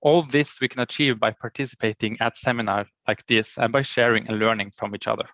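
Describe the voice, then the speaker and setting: A man's voice: mid-range voice, fairly even intonation, a bit bright, no room sound, muffled recording. Man reading a formal presentation. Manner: formal, halting reading with frequent cut-off words and restarts. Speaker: county education official presenting. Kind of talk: reading a prepared conference presentation.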